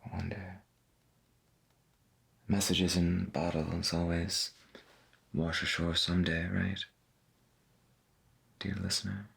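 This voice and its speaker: A man playing a gentle, wondering veteran 1990s AM radio host in a soft-spoken ASMR roleplay, husky and folksy, musing in a hesitant murmur. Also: low voice, monotone, takes it slowly, pauses often, full character voice. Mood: awed, wondering, then hushed hope. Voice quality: husky, gravelly.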